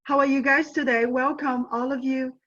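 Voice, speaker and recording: A woman's voice: high-pitched, flat delivery, moderate pace, a bit bright. Woman presenter, dull video-call sound. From southern China. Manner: earnest, repeats and restarts often. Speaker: guest presenter. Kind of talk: presenting on a webinar.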